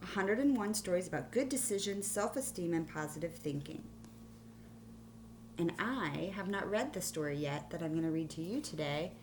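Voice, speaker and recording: A woman with a slightly low voice speaks as a schoolteacher in a read-aloud lesson. She explains things instructively and thinks aloud for her young listeners. A faint noisy hum sits behind her voice. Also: lively intonation, normal speed. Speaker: elementary school teacher. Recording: clearly audible hum and background noise.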